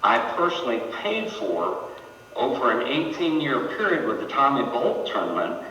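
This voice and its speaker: A man's voice: medium pitch, very animated, moderate pace, sharp tone, lo-fi sound, a little hiss or hum. A man on the city council speaking defensively and combatively at a public meeting. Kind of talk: heated public meeting remarks. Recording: echoey chamber mic from a distance.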